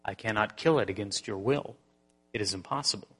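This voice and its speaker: A man playing a calm, quiet angel. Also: lowish voice, brisk, breathy edge, long reverb tail. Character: calm, patient male angel, firm and gentle.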